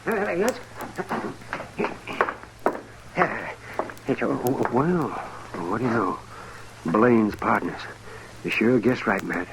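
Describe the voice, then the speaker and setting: An actor playing an excitable, folksy frontier town doctor with a hesitant delivery in an old radio western. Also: male voice, medium pitch, takes it slowly. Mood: impressed. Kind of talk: 1950s radio western acting. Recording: old radio broadcast, faint crackly noise.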